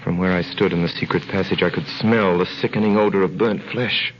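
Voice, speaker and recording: Male actor as grave, earnest captive, deep voice, measured vintage radio drama style, faint noise on old recording. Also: fairly even intonation, close to an everyday voice. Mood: grave, tense. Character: earnest male prisoner recounting his ordeal. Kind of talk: 1930s radio acting, dramatic narration. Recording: muffled, hissy 1930s radio recording.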